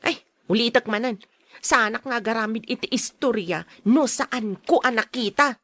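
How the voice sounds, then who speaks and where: A woman's voice: a little high, normal speed, fairly bright tone, no room sound. A woman acting a defiant, gossiping daughter in a radio drama, argumentative and whining. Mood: defensive. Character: defiant, gossiping daughter who insists she saw it.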